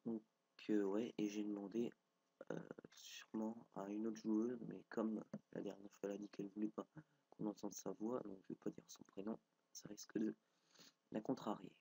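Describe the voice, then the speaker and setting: A man's voice: fairly low pitch, flat delivery, normal speed, gravelly, dark tone, breathy edge, no echo, rough recording. A young man with a cold and a stuffy nose, casually commenting as he builds in a game, thinking aloud with frequent hesitations. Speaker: gameplay video creator.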